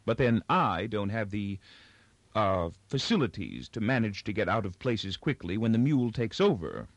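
A man's voice: fairly low pitch, animated, normal speed, no echo, highs cut off. A man voicing a cynical, sophisticated young female factory worker in a storybook, languid and scornful.

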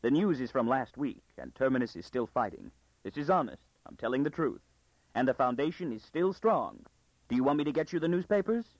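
Casting: hearty older husband played by a male narrator, folksy and excitable storybook figure, lively swooping pitch, dry close recording. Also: a little fast, dry recording, dull audio. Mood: serious, reassuring. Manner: folksy inverted syntax, excitable, defensive. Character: plump, good-natured, hearty older husband, a farmer-trader.

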